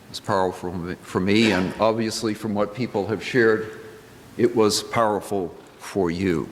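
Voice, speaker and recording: An older man speaking as a minister in a reflective church address, with deliberate, halting phrasing and pauses for emphasis. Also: lowish voice, fairly even intonation. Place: large indoor church sanctuary. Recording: reverberant church hall with background noise.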